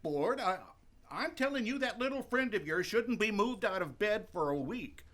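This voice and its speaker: Old male country doctor, played by an actor, bright edgy voice, folksy, suspicious, hesitant delivery, radio drama. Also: sits high, lively intonation. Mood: cautious, folksy. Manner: halting, hemming speech. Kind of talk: radio play acting.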